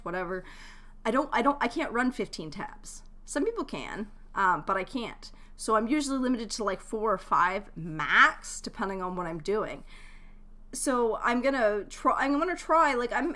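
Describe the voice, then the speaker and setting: A woman's voice: medium pitch, fairly bright tone. A woman chatting casually and giving advice to viewers as a live game streamer, in a chatty, informal way.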